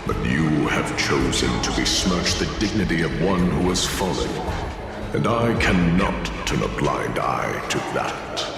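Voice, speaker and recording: An actor playing a proud, bloodthirsty male titan war king in a game, speaking in a grand, measured, commanding way with a gravelly voice. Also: very deep voice, animated, heavily acted. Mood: solemn. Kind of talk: game voice acting. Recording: cavernous reverb with music.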